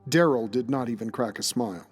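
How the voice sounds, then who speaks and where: A man's voice: medium pitch, fairly quick, over music. A man narrating a scripted dramatic story as its narrator.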